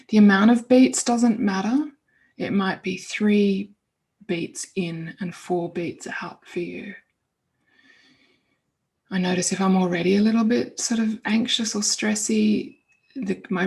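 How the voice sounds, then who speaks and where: A woman leading an informal guided meditation in a soothing, unhurried way, breathy and often trailing off toward a whisper. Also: medium pitch, darkish tone.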